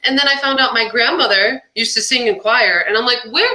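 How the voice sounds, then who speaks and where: Bright, chatty young woman musician. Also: a little high, brisk, low-quality recording. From born in Albania, raised near Boston. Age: young adult. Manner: chatty, fillers of "like", laughs often. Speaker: musician guest. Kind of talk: casual interview chat.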